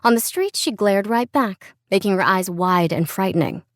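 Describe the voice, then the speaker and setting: A woman narrates literary fiction at a mid-range pitch. Her storytelling is measured and expressive, recorded very dry in a studio. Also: no echo. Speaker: audiobook narrator.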